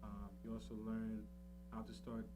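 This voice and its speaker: A man's voice: lowish voice, completely flat delivery, normal speed, background noise. A man in his thirties speaking informally as an interview guest, explaining what he offers with frequent "you know" and "um". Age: 30s. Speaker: interview guest, entrepreneur and financial educator. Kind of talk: casual talk, explaining his services. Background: plucked guitar music.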